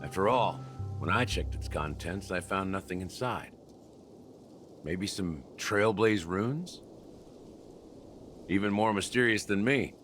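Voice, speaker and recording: A man's voice: low-pitched, animated, takes it slowly, long pauses, fairly bright tone, soft background music, exaggerated voice. An actor playing a sardonic, world-weary middle-aged man in a game, with a wry, laconic delivery. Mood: wry, musing.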